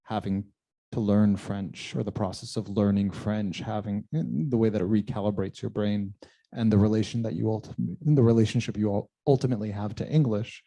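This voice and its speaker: Male literary moderator. Deep-leaning, very dark tone, informal and halting. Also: fairly even intonation. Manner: halting, thinking aloud. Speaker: interviewer, moderator. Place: live library event.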